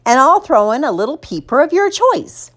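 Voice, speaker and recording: A woman voicing a stubborn, pleading young boy in a children's storybook, with melodramatic, drawn-out delivery. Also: high voice, very animated, pitched above the performer's own voice. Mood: bargaining.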